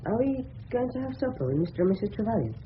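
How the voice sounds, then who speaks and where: A man's voice: high voice, sing-song, rapid-fire. A male actor playing a polite, earnest, self-conscious English schoolboy of fourteen in a radio drama. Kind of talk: radio drama acting with inner monologue. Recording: noisy tinny old radio recording.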